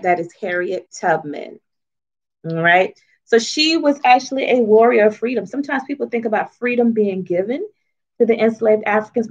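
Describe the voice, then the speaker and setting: A woman with a mid-pitched voice reads a children's book aloud and explains it as she goes. Her phrasing is emphatic and teacherly, with frequent questions to young listeners. The recording is a little dull and lo-fi. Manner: teacherly, asks questions and checks in often. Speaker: children's author and storyteller.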